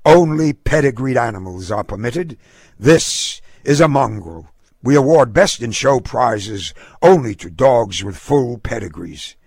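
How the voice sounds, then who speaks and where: A man plays a haughty, officious gentleman from a storybook. He speaks with formal disdain and a commanding edge, in a somewhat husky voice. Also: fairly low pitch, animated, measured pace, no echo, studio quality, exaggerated voice. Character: haughty, officious male dog show official.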